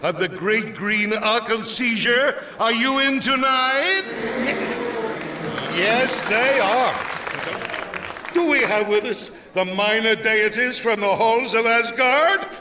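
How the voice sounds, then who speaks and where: A showy, brash male compere in a radio comedy. His voice is high and sits back from the mic, with crowd noise and music behind. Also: lively intonation, slow pace, distant mic, exaggerated voice. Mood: showy, welcoming.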